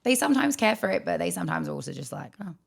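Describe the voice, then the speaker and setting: A young Australian woman co-hosting a casual podcast, with a croaky voice and lively storytelling. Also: fairly low pitch, racing. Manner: chatty storytelling, animated quoting. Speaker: podcast co-host. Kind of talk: casual chat.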